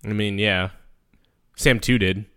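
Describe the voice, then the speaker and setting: Bright, smooth-voiced man joking around. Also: fairly low pitch, steady tone, slow. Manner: teasing, playfully bossy. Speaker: improv player. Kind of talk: improv comedy banter.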